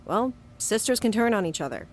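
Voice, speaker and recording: A woman's voice: a little high, lively intonation, brisk, darkish tone, a little hiss or hum, lightly acted. An actress playing a guarded, defiant young woman in an audio drama, terse and dry in both narration and dialogue. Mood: defensive. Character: defiant, guarded young female monster hunter, narrating her story.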